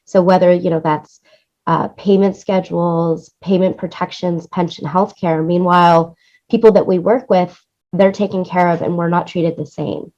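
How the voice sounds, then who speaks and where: A woman's voice: lowish voice, fairly even intonation, moderate pace, a little mellow, dull audio. A woman speaking as an interview guest, explaining things earnestly in a casual conversational style and often checking in with "right?". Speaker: interview guest, music supervisor and producer.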